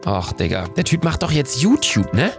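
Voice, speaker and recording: A young man with a cold, excitedly playing a meme quiz on stream and reading the answers aloud, talking into a close studio mic. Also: mid-range voice, normal speed. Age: young adult. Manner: excitable, reads answers aloud, swears casually. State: has a cold. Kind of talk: casual gaming commentary. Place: indoor room at a desk. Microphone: studio mic near the face. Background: background music.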